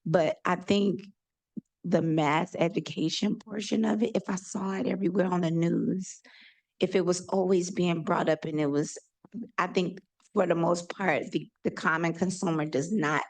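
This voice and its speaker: Woman w a loud gravelly low voice, rambling earnest question from the audience, lots of "right?". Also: normal speed. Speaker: audience member asking a question.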